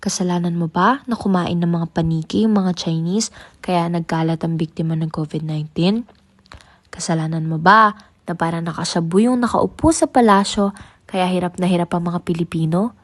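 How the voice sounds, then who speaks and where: A woman's voice: lowish voice, moderate pace, dry recording, close to an everyday voice. A young woman playing bubbly, teasing Liza. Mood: comforting. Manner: playful, mixes English into her talk. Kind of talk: student radio drama.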